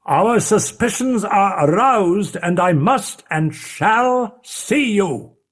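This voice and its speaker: A man voicing a stern middle-aged male lawyer who speaks forcefully and urgently. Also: fairly high pitch, animated, slow pace, husky, voice pushed up, heavily acted. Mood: urgent, commanding.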